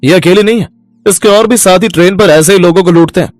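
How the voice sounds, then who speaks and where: A man's voice: high-pitched, fast, no echo, soft background music, natural voice. A man playing a firm policeman in an audio story, speaking formally and emphatically. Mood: grateful, firm.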